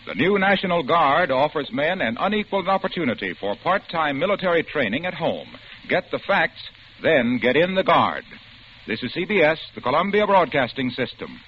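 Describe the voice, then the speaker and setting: A man announcing and reading sponsor copy on an old radio broadcast, in a brisk, salesy style. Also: on the high side, sing-song, lo-fi sound, a little hiss or hum.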